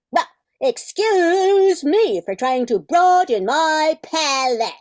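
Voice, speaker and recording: A woman's voice: high voice, animated, drawn-out words, a little mellow, no echo, heavily acted. A woman playing a silly, cheeky munchkin sidekick in a comic amateur radio play, scatterbrained and playful. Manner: playful, daft.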